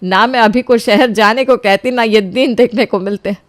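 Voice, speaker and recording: A woman's voice: a little high, moderate pace, no echo, close to an everyday voice. A woman playing a wronged elderly mother-in-law in a dramatic audio story, speaking solemnly and with emotional emphasis. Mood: resolute, regretful.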